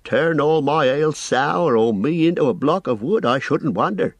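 A man's voice: fairly high pitch, animated, dry recording, voice pushed up. Man putting on a fussy rambling innkeeper. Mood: flustered rambling. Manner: rambling, flustered, drawn-out phrasing. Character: fussy, forgetful, anxious old male innkeeper.

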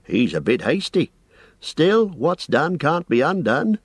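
Flustered old innkeeper, as a male reader puts him on; high, lively, rambling, dry sound. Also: breathy edge, no echo, full character voice. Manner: rambling, flustered, drawn-out phrasing. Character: fussy, forgetful, anxious old male innkeeper.

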